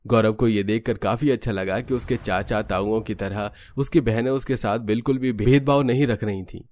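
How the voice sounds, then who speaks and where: A man's voice: lowish voice, steady tone, moderate pace, a little mellow. A man narrating a scripted cartoon family story as a storyteller.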